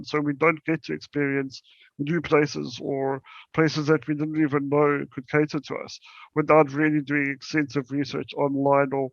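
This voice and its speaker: Halting South African man, gravelly voice. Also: fairly high pitch, fairly bright tone, no echo, muffled recording. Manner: halting, many fillers and restarts. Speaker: startup presenter. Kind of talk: conference presentation.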